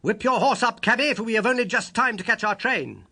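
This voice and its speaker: A man voicing a brisk, self-assured British gentleman detective in an audiobook, high and somewhat strident, with a quick, incisive manner. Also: animated, exaggerated voice. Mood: brisk, commanding.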